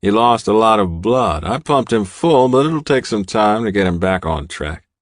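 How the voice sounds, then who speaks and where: Gruff male doctor, played by a narrator, blunt and scolding, dry audiobook studio sound. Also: fairly low pitch, animated, moderate pace, dry recording, pitched above the performer's own voice. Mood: firm, scolding. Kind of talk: audiobook character acting.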